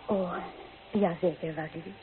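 A woman's voice: lowish voice, steady tone, normal speed, music in the background, lightly acted. A young provincial woman, played by an actress in a radio play, homesick and bitter. She speaks in earnest, wistful, dramatic phrases. The recording is thin and narrow, like an old telephone line. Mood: subdued, resentful. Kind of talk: Dutch radio play acting.

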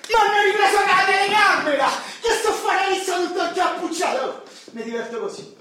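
Man playing a loud high-pitched Roman hooligan, echoey. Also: expressive, a little fast, full character voice. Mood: agitated, defiant. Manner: boastful, coarse, shouting outbursts. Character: brash, foul-mouthed Roman football hooligan and petty crook. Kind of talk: stage-style radio drama acting. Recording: distant, very echoey hall with background noise.

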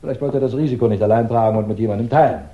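A man's voice: medium pitch, narrow, tinny audio, natural voice. An actor playing a methodical, courteous police investigator who lays out his case insistently in a 1960s German radio crime drama. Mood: insistent, assured. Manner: measured, insistent, explanatory, courteous.

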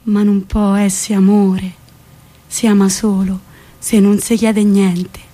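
A woman's voice: medium pitch, little pitch movement, slow pace, dark tone, voice pushed down. A woman playing an old village grandmother with a central Italian accent, telling her memories in a plain, reflective, colloquial theatrical monologue. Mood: tender, reflective.

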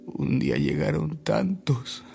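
A man's voice: mid-range voice, wildly swooping pitch, slow pace, creaky, full character voice. An actor playing a tired, devoted man reminiscing with solemn emotion in a radio drama. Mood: fond, narrating. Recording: music behind the voice.

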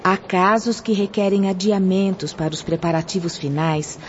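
An actress playing a devout, assured spiritual guide in a radio drama, explaining things formally with a Brazilian accent. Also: female voice, mid-range voice, normal speed, close to an everyday voice. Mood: calm, instructive. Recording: dull lo-fi audio with background noise.

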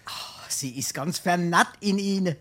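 A roguish drunken sponger from a comic dialect play. He drawls slowly in a Hessian accent, hamming up every plea with theatrical flourish. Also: sits high, pushed and bright, exaggerated voice. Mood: scheming, wheedling. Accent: Hessian, Darmstadt dialect. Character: roguish, boozy male sponger, theatrical and wheedling. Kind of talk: comic dialect stage acting.

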